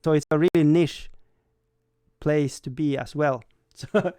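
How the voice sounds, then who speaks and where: A man's voice: fairly high pitch, fairly even intonation, measured pace, mellow, clear, resonant tone, dry recording. Rambling man, dry close sound. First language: Swedish. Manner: rambling, self-deprecating, frequent stammered restarts and laughs. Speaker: livestream host. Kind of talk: casual livestream chat.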